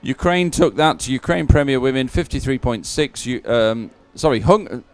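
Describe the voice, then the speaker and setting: A man presenting live sports coverage in a clear, resonant voice of average pitch. He speaks off the cuff, pausing as he goes through results and schedules. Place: open-air commentary position.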